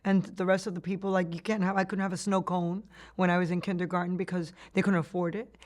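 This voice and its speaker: A woman's voice: fairly low pitch, flat delivery, fast talker, darkish tone. Cuban-born woman recalling her past. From Cuba. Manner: reflective storytelling with frequent restarts and laughs. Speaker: interviewee. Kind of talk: oral history interview.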